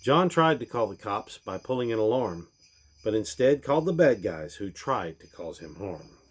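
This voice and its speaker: A man reading a rhyming storybook aloud in a theatrical way, with deliberate pauses. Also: lowish voice, sing-song, breathy edge. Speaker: reader of a storybook.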